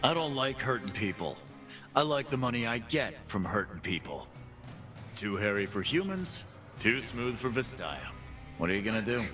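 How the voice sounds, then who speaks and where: A man's voice: on the low side, big pitch swings, moderate pace, pushed and bright. An actor playing a swaggering, boastful half-beast man who runs fighting pits in a video game, with game music behind him. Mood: cocky. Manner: swaggering, boastful, tough-guy taunts. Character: cocky brawling half-beast male pit boss. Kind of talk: game character voice lines.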